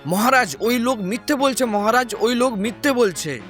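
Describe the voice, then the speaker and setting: A man's voice: extremely high pitch, animated, normal speed, fairly bright tone, soft background music. A man playing an aggrieved villager in a cartoon folk tale, urgently and repetitively protesting. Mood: aggrieved, pleading. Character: aggrieved villager defending his ancestral land.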